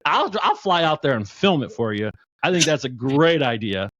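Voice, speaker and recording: A man's voice: mid-range voice, big pitch swings, normal speed, fairly bright tone, no room sound. A man hosting a podcast, chatting enthusiastically and joking with his guest, often restarting his sentences. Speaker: podcast host. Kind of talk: casual interview chat. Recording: dull dry lo-fi sound.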